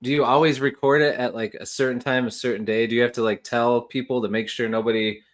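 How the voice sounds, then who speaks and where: A man hosting a book live stream, asking questions casually, shouting out viewers, relaxed and encouraging. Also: mid-range voice. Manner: laid-back, encouraging, quick laughs.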